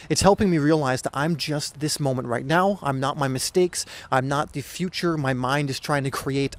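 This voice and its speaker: A young man hosting a soccer podcast, talking casually and earnestly with a hoarse, slightly weak voice and frequent restarts. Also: on the high side. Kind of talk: casual solo podcast talk.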